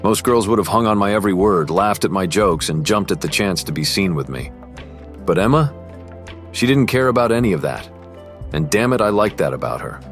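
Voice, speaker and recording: Man acting a deep-voiced cocky teenage heartthrob. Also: animated, a little fast, faint music behind. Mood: brooding, reflective. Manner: smug, cool, guarded. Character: cocky rich high school heartthrob, quietly smitten. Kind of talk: first-person narration and dialogue in a teen romance.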